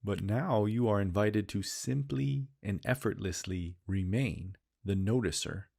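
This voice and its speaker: Man, meditation guide, very low voice, gravelly, slow soothing delivery. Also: a little mellow. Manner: slow, soothing, drawn-out phrases with repeated cues.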